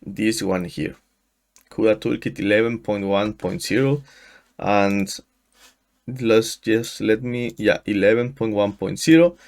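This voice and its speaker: A man in his thirties from Mexico, a native Spanish speaker with a Mexican accent, walking through a software install in a screen-share tutorial, often restarting his sentences. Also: fairly low pitch, steady tone, gravelly. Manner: halting, frequent restarts and fillers. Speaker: tutorial host. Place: small room indoors. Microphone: headset.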